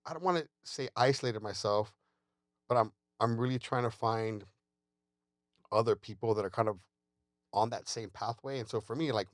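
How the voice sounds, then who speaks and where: A man's voice: fairly low pitch, no room sound, clean studio sound. A man hosting a podcast, talking candidly and reflecting on himself, often restarting his words. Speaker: podcast host. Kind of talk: casual conversation.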